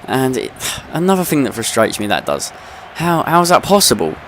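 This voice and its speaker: A man's voice: mid-range voice, very animated, normal speed, a little hiss or hum. A young man with a slight cold, ranting in casual gameplay commentary and swearing freely. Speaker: gaming video host.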